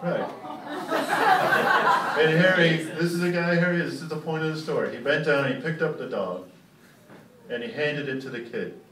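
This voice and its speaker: A man's voice: on the high side, normal speed, pauses often. A man on stage telling a casual, profane comic story and acting out the dialogue as he goes. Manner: acts out dialogue as he tells it. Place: live comedy show indoors. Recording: echoey live room, distant mic, faint noise.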